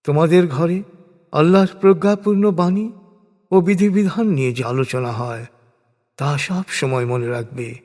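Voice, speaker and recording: A man narrating translated scripture from a script in a solemn, formal, measured way with deliberate pauses. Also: on the high side, darkish tone. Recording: long reverberant hall-like echo.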